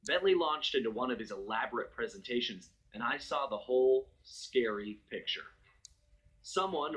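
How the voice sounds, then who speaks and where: An American man voicing a suave, confident master thief, narrating a video game cutscene in a smooth, wry, unhurried storytelling manner. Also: medium pitch, a bit bright, rough recording. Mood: intrigued, determined. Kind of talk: scripted first-person cutscene narration. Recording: music under the voice.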